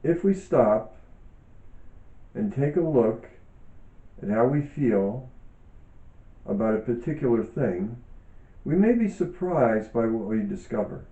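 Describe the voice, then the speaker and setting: A man reading reflective self-help teaching aloud in a deliberate, measured way, pausing often between short phrases. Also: medium pitch, dark tone. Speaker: author and yoga teacher reading from own book. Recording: dull, echoey room recording.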